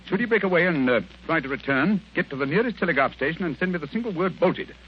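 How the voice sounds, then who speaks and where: Shrewd, commanding sleuth, male actor, British, crisp and very animated, old radio drama with slight hiss. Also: a little high, quick pace, close to an everyday voice. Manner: crisp, theatrical, authoritative. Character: brisk, commanding, shrewd gentleman detective. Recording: muffled, hissy vintage radio recording.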